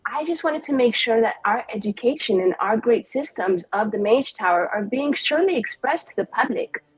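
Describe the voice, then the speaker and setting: A woman's voice: fairly high pitch, lively intonation, moderate pace, slightly rough, no room sound, low-quality recording. A woman playing a very confident, spiteful female mage with sarcastic, mock-formal delivery in an improvised tabletop game. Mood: smug, sarcastic.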